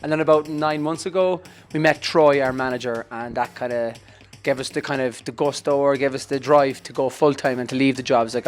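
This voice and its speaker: A young Irish man in his twenties, a band member chatting casually and joking in an interview, speaking into a handheld mic in a backstage room. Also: medium pitch. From Ireland. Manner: joking, rambling, quick.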